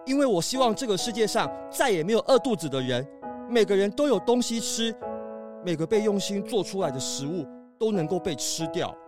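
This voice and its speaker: A woman's voice: mid-range voice, expressive, a little fast, music in the background, close to an everyday voice. A woman voicing a young male lemur, an earnest and principled older brother, in a children's audio drama with a sincere delivery. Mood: indignant, heartfelt. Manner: sincere, heartfelt.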